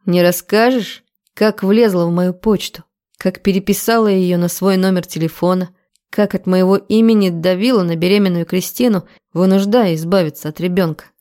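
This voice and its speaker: Woman reading as a furious man, relaxed timbre. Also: fairly low pitch, close to an everyday voice. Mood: menacing anger. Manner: menacing, clipped, deliberate. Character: furious, menacing young man interrogating with cold contempt.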